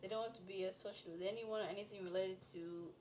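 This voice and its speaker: A woman guest in a casual conversation who talks in an animated way, laughs easily and fills her speech with "like" and "you know.". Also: medium pitch, quick pace, slight background noise. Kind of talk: casual podcast chat.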